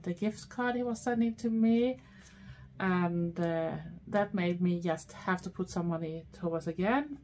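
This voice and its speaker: A woman's voice: lowish voice, a little mellow, slight background noise. A middle-aged woman from Sweden with a Swedish accent, chatting casually as a vlogger through a haul, rambling with many fillers. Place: small dark room indoors.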